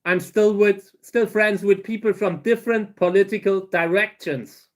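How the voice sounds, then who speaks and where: A German man in his thirties with a German accent, answering viewer questions on a casual livestream, halting and restarting his sentences often. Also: high-pitched. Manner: halting, many restarts and fillers. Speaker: livestream host.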